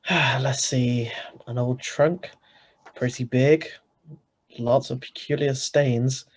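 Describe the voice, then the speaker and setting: A man acts out a skeptical husband in a radio suspense play, with a mid-pitched voice. He is wry and sardonic, narrating like someone whose patience is wearing thin. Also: fairly even intonation, extremely slow. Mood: impatient, sardonic. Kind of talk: radio drama script reading. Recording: live video-call recording.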